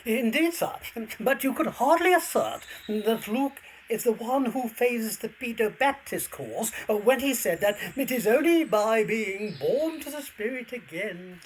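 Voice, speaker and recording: A woman's voice: on the high side, animated, unhurried, slight background noise, rough recording. A woman playing a zealous, haranguing male Baptist minister in a period audio drama, formal and preachy. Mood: argumentative.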